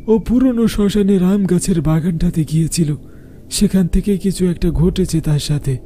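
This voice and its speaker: A man's voice: high voice, normal speed, warm tone, a little hiss or hum, close to an everyday voice. A man voicing an urgent, commanding village exorcist cleric in a horror cartoon. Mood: grave. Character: stern male village exorcist cleric confronting spirits.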